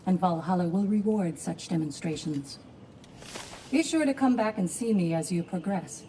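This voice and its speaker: A woman performs a valkyrie guide for a fantasy game. Her fairly low voice is composed and formal as she teaches in measured phrases. Clear ambient noise runs behind her. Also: animated, natural voice. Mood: calm, instructive. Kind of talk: dramatic video game acting.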